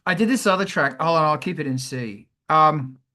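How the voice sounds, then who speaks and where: A man hosts a casual music lesson in a bright, punchy voice that sits a little high. He explains in an irreverent, animated way and swears freely as he goes. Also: normal speed. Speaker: host of a music production show. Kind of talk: casual music teaching with sung demonstrations.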